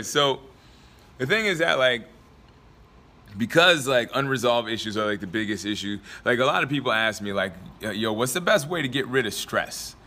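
Young male vlogger, a bit raspy from a dry throat, bright and forceful, loose rambling motivational talk. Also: on the low side. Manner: rambling, filler-heavy, laughs often. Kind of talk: casual motivational talk to camera.

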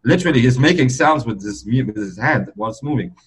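A man's voice: medium pitch, lively intonation, fast talker, husky. A man in his forties from Lebanon, a DJ guest talking in an easygoing, rambling way over a remote call. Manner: easygoing, rambling, frequent "like" and "you know". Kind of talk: relaxed conversational interview.

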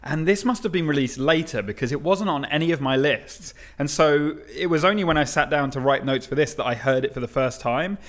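A man's voice: a little high, brisk, few pauses, fairly bright tone. A man co-hosting a casual podcast, easygoing and hesitant, often restarting his sentences. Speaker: podcast co-host. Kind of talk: casual chat.

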